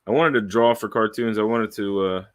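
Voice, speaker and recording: A man's voice: fairly low pitch, steady tone, no room sound, studio quality. Candid, profane man in his thirties. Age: 30s. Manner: candid, profane, wandering, with restarts and long trailing pauses. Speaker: aspiring voiceover artist. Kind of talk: casual rambling monologue. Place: home, at his own microphone. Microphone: studio microphone, close and dry.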